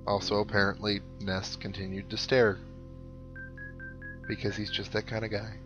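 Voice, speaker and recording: A man's voice: fairly low pitch, level tone, normal speed, dull audio. A man giving casual, wry let's play commentary with sarcastic asides. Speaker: gaming video host. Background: game music.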